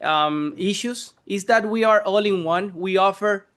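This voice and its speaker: High, smooth-voiced man from the Dominican Republic. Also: fairly bright tone. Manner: earnest, slightly halting. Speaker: startup founder presenting. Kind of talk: pitch presentation.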